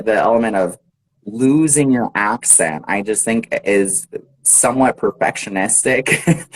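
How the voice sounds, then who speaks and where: An American man, an English teacher, chatting casually on a live video call, relaxed and laughing often. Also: medium pitch, poor audio. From United States.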